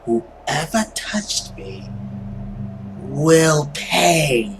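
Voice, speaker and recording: A performer voicing a haughty, cruel creature who feeds on love, in a melodramatic visual novel reading with drawn-out, swooping words and whispers. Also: mid-range voice, a little hiss or hum. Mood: vengeful, brooding. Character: cruel, theatrical vampiric love creature, haughty and vengeful villain.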